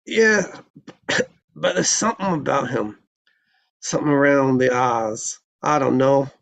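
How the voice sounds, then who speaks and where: Man playing a gravelly, sardonic drunken gambler. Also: mid-range voice, a little slow. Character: drunken, sardonic, sickly southern gambler gunfighter. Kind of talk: live table read of a western screenplay.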